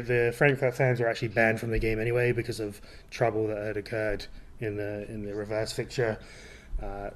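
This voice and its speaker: Male podcast co-host, lowish voice, easygoing football banter. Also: slight background noise. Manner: relaxed, chatty, laughs easily. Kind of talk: casual football chat.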